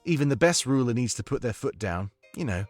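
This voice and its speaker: Casting: male actor as principled royal confidant, fantasy drama. Medium pitch, measured, wary, given to searching questions. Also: lively intonation, darkish tone, dry recording, soft background music, lightly acted. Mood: probing, firm. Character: thoughtful male fantasy ally, wary, principled adviser to the monarch. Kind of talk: fantasy audio drama acting.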